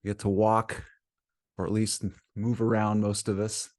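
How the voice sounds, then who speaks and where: Male meditation guide: lower-pitched voice, soothing and gentle delivery, unhurried phrasing with plenty of pauses. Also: level tone. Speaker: meditation teacher.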